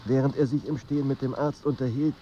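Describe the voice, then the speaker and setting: A man narrating a melancholy literary radio drama in a measured, sombre manner. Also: medium pitch, fairly even intonation, warm tone, dull audio, soft background music, close to an everyday voice.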